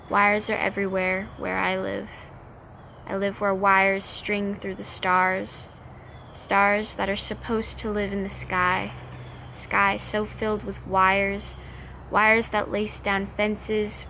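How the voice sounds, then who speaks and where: A woman recites slam poetry at an unhurried, slow pace. Her mid-pitched voice carries noticeable vocal fry, and she sets out each short phrase with measured care. Faint noise sits behind the recording. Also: fairly bright tone. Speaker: slam poet.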